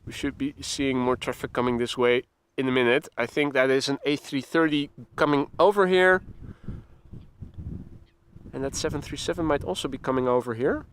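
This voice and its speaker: A man with a Dutch accent hosting a live plane-spotting stream, calmly explaining runway changes and reading out weather reports. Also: mid-range voice, moderate pace. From Netherlands. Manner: calm, explanatory, chatty, reads out weather reports. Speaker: plane-spotting livestream host. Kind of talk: live commentary. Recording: loud wind buffeting the mic.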